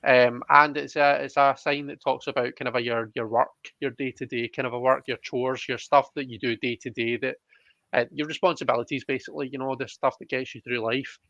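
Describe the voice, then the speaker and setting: Guy doing a live card reading, chatty, bit hesitant, thinks out loud, close dry sound. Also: medium pitch, little pitch movement, a little fast, no echo, dull audio. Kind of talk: casual live reading, chatting with guest and viewers.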